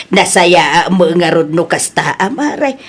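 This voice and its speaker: Woman acting as stubborn wife, husky voice, unhurried emphatic talk, comedy drama. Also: on the low side, animated, exaggerated voice. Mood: conciliatory. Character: proud, stubborn, debt-dodging wife who softens. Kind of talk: Filipino comedy drama dialogue in Ilocano.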